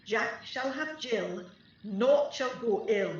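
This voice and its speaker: A woman's voice: on the high side, expressive, slow. A woman acting a mischievous, taunting fairy sprite in a Shakespearean radio play, speaking sing-song rhymed verse. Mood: sly incantation. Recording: roomy, echoing, distant, slightly dull.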